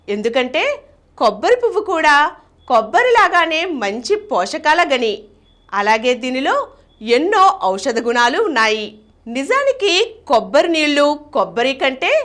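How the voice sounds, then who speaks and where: A woman's voice: high-pitched, a bit bright. A woman reading a scripted health narration in a conversational way, addressing listeners directly.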